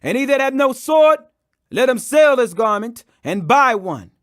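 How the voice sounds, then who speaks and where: A man's voice: extremely high pitch, very animated, measured pace, fairly bright tone, voice pushed up, heavily acted. A man voicing a grave, commanding religious teacher in a solemn, declamatory scripture reading. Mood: grave, urgent.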